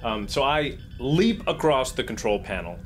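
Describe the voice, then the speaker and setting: A man's voice: mid-range voice, sing-song, normal speed, faint music behind. A man chatting eagerly as a player in a casual tabletop role-playing game, sometimes stumbling into his sentences. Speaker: player as starship captain. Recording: faint room noise.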